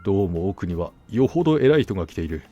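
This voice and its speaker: A man voicing a gullible, self-assured gentleman hunter in a storybook, musing aloud conversationally. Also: low voice, sing-song, fast, dull audio, faint music behind. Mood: musing, satisfied. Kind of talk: storybook dialogue acting.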